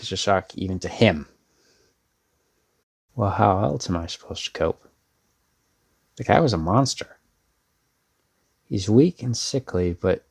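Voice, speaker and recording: Deep-voiced, wry young slacker played by a man. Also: creaky, husky, heavily acted. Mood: wry, bitter, then bitter. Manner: rambling, wry, sardonic, casual. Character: laid-back young man, self-deprecating rebellious family disappointment. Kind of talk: ASMR roleplay audio drama.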